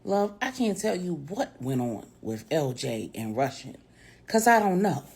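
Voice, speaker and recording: A woman storyteller voicing a measured investigator with a reserved, deliberate manner. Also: low voice, big pitch swings, vocal fry, a little mellow, husky, heavily acted. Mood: guarded.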